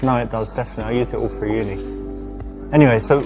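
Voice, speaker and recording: A man's voice: mid-range voice, fairly even intonation, racing, natural voice. Actor as a casual, polite man with music behind. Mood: relaxed, casual.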